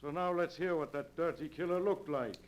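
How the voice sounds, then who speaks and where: An actor playing a pushy, streetwise bartender with slangy wisecracking delivery in 1940s radio style. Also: male voice, fairly high pitch, fairly quick, dull audio, close to an everyday voice. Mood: suspicious, threatening. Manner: slangy, pushy, punctuates with "see?". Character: tough, suspicious wisecracking male bartender. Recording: vintage 1940s radio recording.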